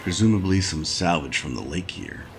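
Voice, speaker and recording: An actor playing a weary, dry-witted mechanical engineer who mutters wry, hesitant asides in a noir video game. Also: male voice, low voice, expressive, normal speed, creaky. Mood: musing, subdued. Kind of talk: noir point-and-click game acting. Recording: music behind the voice.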